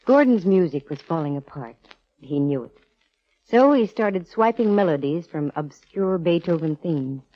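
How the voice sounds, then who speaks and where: An American actress playing a sharp female private eye in 1940s radio drama, explaining things with dramatic, measured pauses. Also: fairly low pitch, expressive, dry recording. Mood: explanatory, brisk. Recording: dull vintage radio recording with music.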